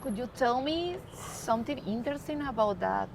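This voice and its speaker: A woman interviews people on a city street and keeps her questions short and friendly. Her voice sits fairly high. Faint traffic can be heard behind her. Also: expressive, moderate pace, vocal fry.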